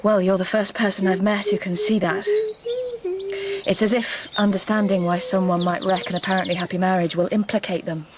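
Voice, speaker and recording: A woman's voice: mid-range voice, little pitch movement, fairly quick, lightly acted. An actress playing an awkward, self-deprecating lonely mother in a naturalistic radio drama, wry and nervous. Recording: clearly noisy ambience with birdsong.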